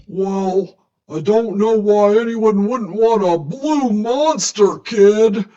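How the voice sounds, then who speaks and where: A woman's voice: mid-range voice, takes it slowly, warm tone, lo-fi sound, natural voice. A woman voicing a dismissive, unbothered storybook monster with an offhand, drawling manner.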